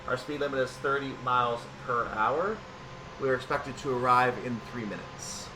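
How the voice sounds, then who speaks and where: A man commentates on a train simulator in a mid-pitched voice, set back from the microphone. He narrates his actions in announcer-like phrases, with a clear vehicle rumble and hum behind him. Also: moderate pace. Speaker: video game streamer. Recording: distant mic, loud vehicle-like background noise.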